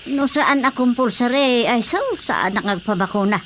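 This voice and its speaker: An actress playing an earnest, inquisitive young Filipino village daughter in a radio drama. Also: female voice, fairly high pitch, normal speed, a bit bright, a little hiss or hum, close to an everyday voice. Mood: questioning. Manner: questioning, earnest.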